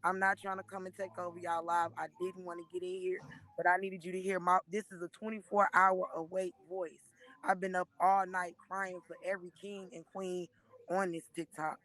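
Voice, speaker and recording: A hoarse woman in her thirties gives an emotional, preachy testimony on a live stream, speaking into a phone and often calling listeners by name. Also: lowish voice, little pitch movement, normal speed, fairly bright tone. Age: 30s. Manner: earnest and preachy, repeatedly addressing listeners by name.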